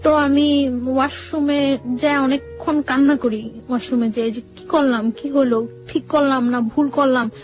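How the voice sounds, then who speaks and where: A young woman with a high voice and a Bangladeshi accent recounts a personal story as an interview guest. She speaks haltingly, circling back over her words, with music playing behind her. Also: steady tone. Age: young adult. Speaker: guest telling own life story. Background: background music.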